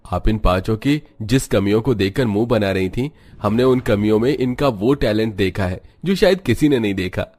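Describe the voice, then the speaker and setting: Man as an earnest low-voiced grown son. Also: lively intonation, normal speed, hardly any pauses, a little mellow. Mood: reproachful pride. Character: grown son proudly defending his unusual wife.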